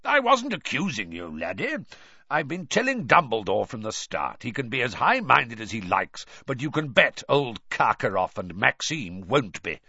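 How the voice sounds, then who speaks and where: A man voicing a gruff, shrewd old wizard teacher who growls out blunt advice in an audiobook. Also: medium pitch, sing-song, normal speed, bright, forceful tone, no room sound, muffled recording, full character voice. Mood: knowing.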